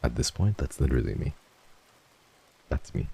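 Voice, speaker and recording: A man streaming a casual chat with viewers, swearing freely and jumping from low mumbles to excited high yelps. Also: moderate pace, creaky, husky.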